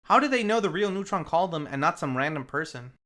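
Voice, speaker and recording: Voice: mid-range voice, animated, fast talker, fairly bright tone. A film review host narrating a scripted recap in a casual, playful storytelling manner.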